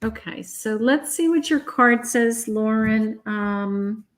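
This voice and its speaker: A woman's voice: on the high side, slow pace. A woman doing a live tarot reading, chatty and reassuring, calling viewers honey and sweetheart, her voice starting to wear out. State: losing her voice. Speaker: psychic tarot reader.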